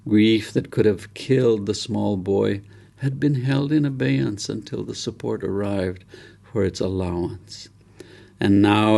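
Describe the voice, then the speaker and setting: Slow, gravelly man reading a story. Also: lowish voice, darkish tone. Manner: solemn, reverent, deliberate phrasing with dramatic pauses. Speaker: author reading own novel aloud. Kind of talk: literary audiobook narration.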